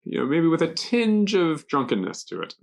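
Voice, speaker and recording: A man casually explaining English vocabulary off the cuff, pausing to think and offering loose examples. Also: wildly swooping pitch. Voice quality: gravelly, low. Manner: halting, thinking aloud with examples. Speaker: guest explaining English words. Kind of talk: casual unscripted word explanations.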